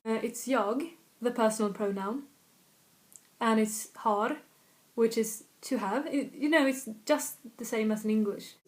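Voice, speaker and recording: Slow-talking Swedish woman tutor. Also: on the high side. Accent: Swedish, slightly northern. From Sweden, north of Stockholm. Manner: chatty, self-correcting, repeats example phrases slowly. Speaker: language tutor. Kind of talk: casual video lesson.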